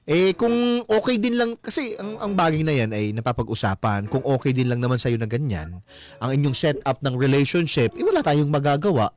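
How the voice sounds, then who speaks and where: A man's voice: mid-range voice, animated, a little fast, a little mellow. A Filipino man hosting a radio advice chat, joking and teasing as he mixes Tagalog and English. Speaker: radio host giving relationship advice. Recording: music bed behind the voice. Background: background music.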